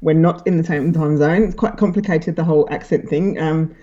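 A woman's voice: deep voice, fairly even intonation, fairly quick, mellow, highs cut off. A woman with a London accent and a slight Australian twang, chatting as a podcast guest late at night and laughing easily between her ums. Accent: London English with a slight Australian twang. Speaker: guest author. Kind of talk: casual interview chat. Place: home in Sydney, late at night.

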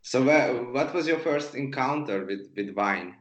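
A man's voice: medium pitch, animated, a little slow, muffled recording. A man hosting a podcast as a sommelier, moving between scripted introduction and casual interview talk.

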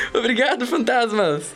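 A woman's voice: fairly high pitch, a bit bright, heavily acted. A performer with a woman's voice playing a timid but eager young boy in a children's radio drama.

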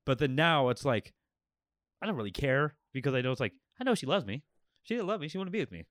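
A man hosting a podcast in casual chat, speaking candidly and reflectively, with halting restarts. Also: medium pitch, big pitch swings, fairly bright tone, dry recording, studio quality. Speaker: podcast host.

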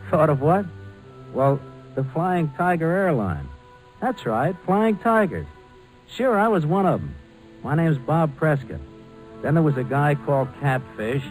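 An actor with an American accent playing an easygoing former fighter pilot, narrating colloquially in a 1940s radio drama. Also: male voice, a little high, low-quality recording. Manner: colloquial, conversational. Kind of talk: 1940s radio acting, folksy first-person narration.

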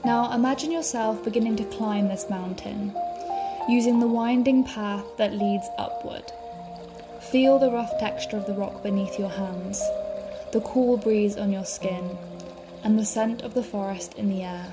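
A woman leading a guided meditation as a podcast host, speaking in a calm, soothing, measured way. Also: mid-range voice. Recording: music behind the voice. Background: background music.

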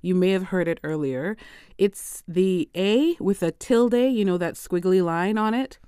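A woman hosting a solo language-learning podcast, chatty and quick to laugh, slipping Portuguese phrases into her talk. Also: fairly low pitch, mellow, clean tone, dry recording, studio quality. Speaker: podcast host.

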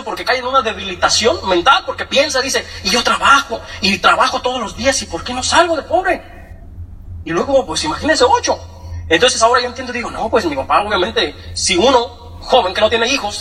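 A young man with a Mexican accent, from Michoacán, telling his life story to an audience in a joking, animated way. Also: very high voice, brisk, far from the mic. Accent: Mexican Spanish. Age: young adult. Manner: joking, animated, acts out quoted voices. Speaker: speaker giving a testimony.

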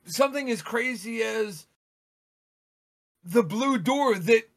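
A man co-hosting a podcast, chatting casually, animated, talking over the others and repeating words when he gets excited. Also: very high voice, slow. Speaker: podcast co-host. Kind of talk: casual chat.

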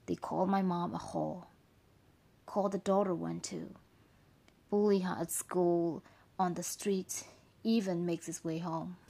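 Actress as husky, wounded working-class woman. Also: mid-range voice, dark tone, full character voice. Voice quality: husky, breathy, creaky. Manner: halting, confessional, blunt. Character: wounded, bitter working-class woman gardener recounting her hard childhood. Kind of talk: intimate dramatic monologue.

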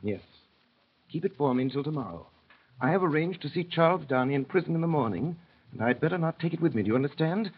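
A man playing a resolute, self-sacrificing English gentleman in an old radio drama, husky and urgently commanding. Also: fairly high pitch, animated, quick pace. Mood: urgent, controlled. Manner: urgent, commanding, clipped phrases. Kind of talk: 1930s-40s radio drama acting. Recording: dull, muffled old radio recording.

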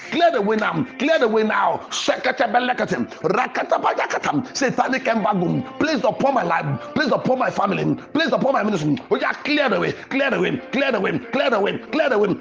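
A man preaching fervently in a Pentecostal prayer style, with forceful, repetitive declarations. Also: very high voice, creaky, highs cut off. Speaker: preacher leading a prayer podcast.

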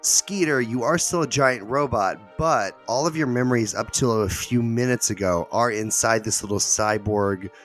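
A man's voice: mid-range voice, moderate pace, hardly any pauses, fairly bright tone, soft background music. A man narrating as game master of a tabletop game, in a loose, chatty way full of fillers and restarts.

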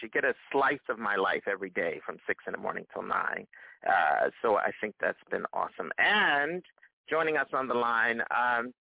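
A man's voice: mid-range voice, gravelly, no room sound. A man hosting a live radio morning show, chatty and repeating words as he goes. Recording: thin phone-like dry sound.

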